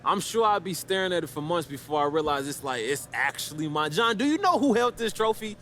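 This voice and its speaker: An actor playing a confident young basketball star giving slangy, casual press conference answers in a video game. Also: male voice, fairly high pitch, big pitch swings, a little fast, pushed and bright, a little hiss or hum. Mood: thrilled, grateful. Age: young adult. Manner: cocky, slangy, laid-back.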